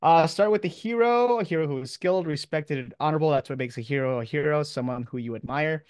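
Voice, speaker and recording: A man's voice: a little high, quick pace, darkish tone, clean tone, no room sound, clean studio sound. A man giving an informal lecture as a professor, talking in a casual, off-the-cuff, conversational way.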